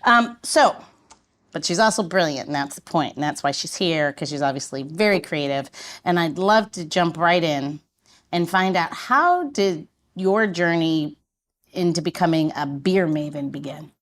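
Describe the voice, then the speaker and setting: Low-voiced woman hosting a chatty podcast. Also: lively intonation, normal speed. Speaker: podcast host.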